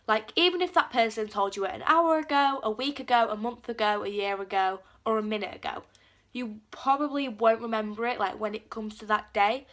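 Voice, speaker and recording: A woman's voice: fairly high pitch, a bit bright. A teenage girl with a cold, chatting casually to camera and filling her talk with "like". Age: teens. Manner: chatty, lots of "like" fillers, acts out quotes. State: has a cold. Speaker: vlogger.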